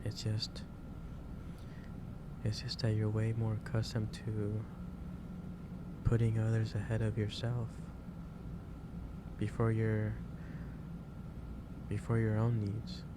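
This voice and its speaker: Man acting as halting, low-voiced gentle male boss. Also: monotone, very noisy. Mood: gentle concern. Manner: halting, hesitant, repeats and restarts phrases. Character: gentle, concerned male office boss lecturing an overworked employee. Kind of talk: improvised ASMR roleplay addressed to the listener.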